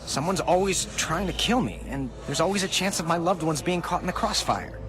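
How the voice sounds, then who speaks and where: A man's voice: on the high side, animated, a little fast, close to an everyday voice. An actor playing a young, wisecracking video game superhero with a quippy, sarcastic manner, anxious and devoted to his loved ones. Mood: somber, guilty.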